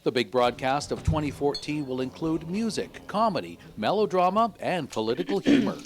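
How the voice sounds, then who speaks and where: A man's voice: fairly high pitch, animated, moderate pace. A man narrating a scripted local television news feature as a reporter.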